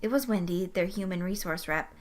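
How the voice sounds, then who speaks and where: A woman's voice: lowish voice, brisk. A woman reading scripted fan fiction as its narrator.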